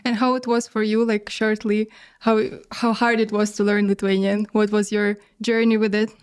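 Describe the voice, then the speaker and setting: A woman's voice: medium pitch, level tone, moderate pace, clean tone. A woman hosting an interview, asking curious questions and prompting with "mm-hmm". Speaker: interviewer host. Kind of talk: casual interview.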